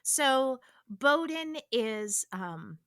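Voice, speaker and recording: Female cast member, bright forward voice, slow conversational interview delivery. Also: sits high, lively intonation, smooth. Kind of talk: casual interview chat.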